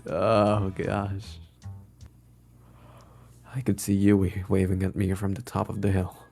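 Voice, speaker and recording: Low-voiced man reading a visual novel aloud. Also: monotone, takes it slowly, gravelly, a little mellow, faint music behind. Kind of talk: expressive read-aloud narration with live reactions.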